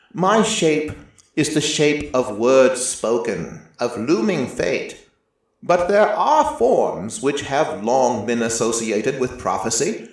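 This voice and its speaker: A man narrating dramatic first-person fiction in a deliberate, theatrical storytelling manner. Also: mid-range voice. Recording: very echoey, distant from mic.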